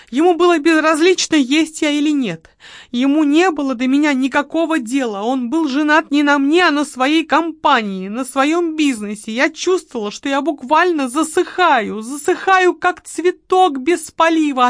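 A woman reader voicing an emotional young widow who complains in a high, tearful, shrill voice. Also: no echo, studio quality, natural voice.

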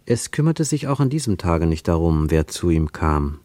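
A man narrating a radio drama in a measured, expressive literary storytelling manner. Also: low-pitched, no echo.

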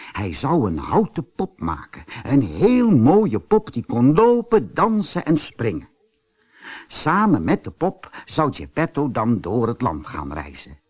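A man narrates a children's fairy tale slowly and expressively. His voice is slightly husky and sweeps widely up and down in pitch. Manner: expressive storytelling. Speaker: audiobook narrator.

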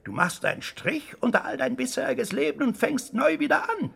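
An actor playing a shrewd, bossy older crook in a comic radio play, declaiming in a patronising way with a raspy voice. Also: male voice, very high voice, expressive, normal speed, a bit bright, heavily acted. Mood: confident. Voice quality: gravelly, raspy.

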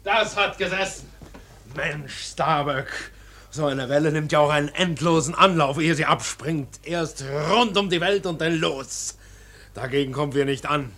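A man acting a cheeky, fearful, garrulous second mate at sea in a radio play, chatty and excitable. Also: on the high side, measured pace. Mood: awed banter. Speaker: actor in a radio drama.

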